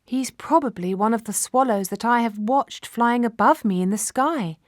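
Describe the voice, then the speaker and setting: Lady doing a tiny sweet storybook girl, high voice, tender-hearted, really earnest and emotional. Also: moderate pace, no room sound, clean studio sound, close to an everyday voice. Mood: concerned.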